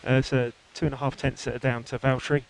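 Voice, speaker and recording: A man's voice: mid-range voice, level tone, fairly quick, creaky. A man working as a race engineer, reporting over team radio in hesitant short phrases. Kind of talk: team radio debrief to driver. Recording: team radio with faint noise.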